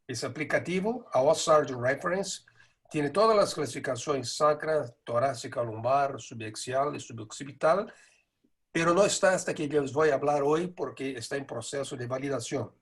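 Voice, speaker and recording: A middle-aged man, a native Portuguese speaker with a Buenos Aires tinge in his Spanish, gives a measured conference lecture with frequent hesitations. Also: mid-range voice, husky. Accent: Portuguese-accented Spanish with a Buenos Aires lilt. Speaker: neurosurgery lecturer.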